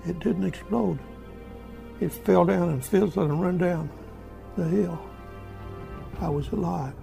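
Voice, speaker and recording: An elderly American war veteran recounting his memories in a folksy, halting, repetitive way. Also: high voice, creaky, mellow, faint music behind. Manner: folksy, halting, repeats himself. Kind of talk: spoken first-person war reminiscence.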